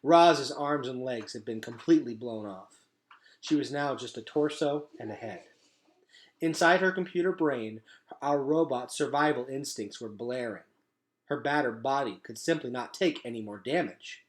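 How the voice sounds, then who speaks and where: A man narrating a children's storybook aloud in a dramatic, emphatic way, dropping to a hush at times. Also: medium pitch, normal speed. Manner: dramatic, emphatic delivery with hushed drops.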